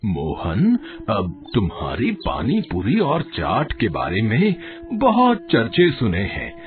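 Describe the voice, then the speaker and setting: A man voicing a kindly elderly father of two girls, speaking in a slow, drawn-out way. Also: fairly low pitch, wildly swooping pitch, full character voice. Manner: slow, drawn-out, warm-hearted, relishing. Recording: dull lo-fi audio, faint music.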